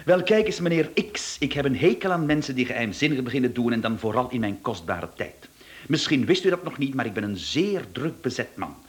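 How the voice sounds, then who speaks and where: A man acts out a busy male executive in a radio drama, speaking with a Flemish accent. He is brusque and condescending, and his pitch moves up and down in a lively way as he makes his self-importance plain. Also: a little fast, natural voice. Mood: irritated, haughty. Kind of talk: radio play acting.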